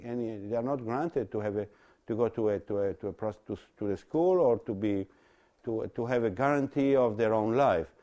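Italian guy lecturing, dark mellow voice, kinda halting, repeats himself and asks rhetorical questions. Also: medium pitch. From Italy. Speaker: religious lecturer. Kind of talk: explanatory talk to an audience.

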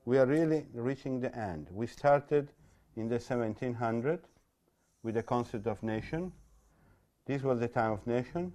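A man with an Italian accent and native Italian, giving an explanatory lecture in a halting, repetitive way with rhetorical questions. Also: medium pitch, mellow. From Italy. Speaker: religious lecturer. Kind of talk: explanatory talk to an audience.

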